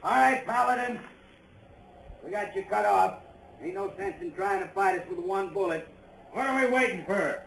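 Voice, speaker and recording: High, shouting, menacing outlaw. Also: male voice, normal speed, gravelly, long pauses. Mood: taunting. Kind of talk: 1950s radio western acting. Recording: distant, echoey, very noisy radio recording.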